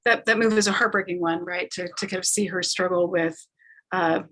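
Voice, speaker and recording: Woman moderator on a live panel, kinda halting, thoughtful, rephrases as she goes. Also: fairly low pitch, steady tone, fairly bright tone, rough recording. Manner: halting, thoughtful, circling phrasing. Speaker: talkback moderator.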